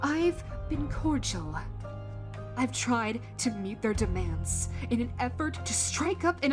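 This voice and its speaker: A vengeful fantasy queen acted out by a woman speaks over music in a slow, commanding voice. She is bitter and grieving, with an audio-drama intensity that turns from cold to furious. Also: medium pitch.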